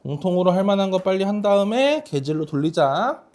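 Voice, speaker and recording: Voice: medium pitch, very animated, fairly quick, a little mellow. A math teacher working through problems in a classroom lesson, speaking casually and often asking students questions to check they follow.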